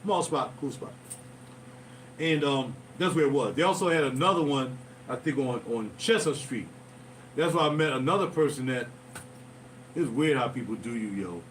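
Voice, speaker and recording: A man's voice: medium pitch, expressive, normal speed, pauses often, a little hiss or hum. A man rambling through stories to his livestream viewers, often drifting off topic and losing his thread. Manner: digressive, loses his thread, talks to viewers directly. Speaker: musician hosting a livestream. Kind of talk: casual rambling livestream storytelling. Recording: distant mic with music playing behind.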